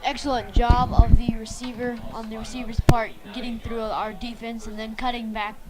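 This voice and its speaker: Higher-voiced woman analyst over clear noise. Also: level tone, normal speed. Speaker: color commentator. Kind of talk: unscripted sports analysis. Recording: clearly noisy live game broadcast.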